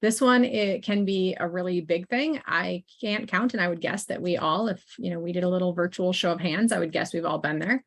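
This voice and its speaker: A woman's voice: on the low side, fairly quick, few pauses. A woman presenting an online training as a consultant, talking informally with frequent "um"s and asides to the audience. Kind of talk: conversational webinar presentation.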